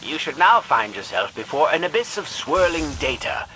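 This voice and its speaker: Pompous, cantankerous, excitable alien scientist. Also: male voice, a little high, moderate pace, background noise. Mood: lecturing. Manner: pompous, indignant, theatrical. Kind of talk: dramatic video game voice acting.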